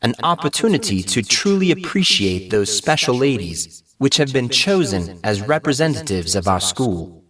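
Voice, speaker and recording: A man's voice: medium pitch, big pitch swings, moderate pace, fairly bright tone, far from the mic. A man voicing a playful, formal master of ceremonies at a school in an audiobook. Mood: playful, grand.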